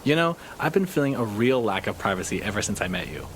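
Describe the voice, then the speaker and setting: An actor playing a cautious, skeptical civilian helper in an audio drama, with a wary, wry manner. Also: male voice, lowish voice, big pitch swings, fast talker, noisy background.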